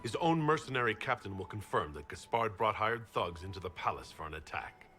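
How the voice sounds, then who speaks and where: Commanding fantasy game leader, firm n formal, bright lowish voice, expressive. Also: male voice, a little fast, soft background music. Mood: accusing. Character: commanding male Inquisitor, a resolute leader. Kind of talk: video game cutscene acting.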